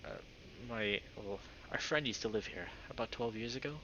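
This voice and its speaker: A man voicing a nervous young male animal character in a visual novel, halting, polite and insistent. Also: lowish voice, bright, forceful tone. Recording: dull lo-fi microphone, faint noise.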